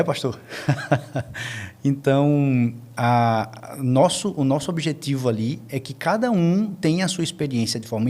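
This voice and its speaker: A man with a Brazilian accent, a podcast guest explaining conversationally, haltingly searching for words and repeating himself. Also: mid-range voice, a little mellow. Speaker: podcast guest, media team member.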